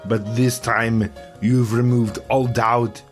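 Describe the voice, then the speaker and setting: A man's voice: medium pitch, level tone, takes it slowly, close to an everyday voice. A man playing a fussy, scornful sidekick in a scripted holiday parody, with music behind him.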